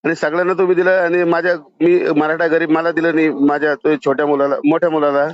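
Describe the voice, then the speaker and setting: A dull, lo-fi phone recording of a male caller. He talks quickly in a slightly high voice and keeps pleading and pressing to be heard. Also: level tone, dry recording. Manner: insistent, pleading, repeatedly interjecting. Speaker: caller to a politician. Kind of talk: phone conversation.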